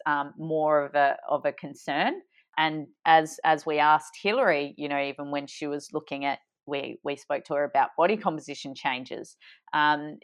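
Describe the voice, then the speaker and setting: A woman with a low, smooth, resonant voice summarizes an interview as a podcast co-host. She speaks thoughtfully and hesitantly, pausing on frequent ums and restarting her phrases.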